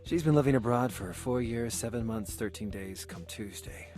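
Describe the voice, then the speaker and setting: An actor playing an eager, awkward self-made merchant of peasant birth in a visual novel, earnest and halting, sometimes stammering. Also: male voice, mid-range voice, darkish tone, faint music behind, close to an everyday voice. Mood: musing. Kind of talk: naturalistic visual novel acting.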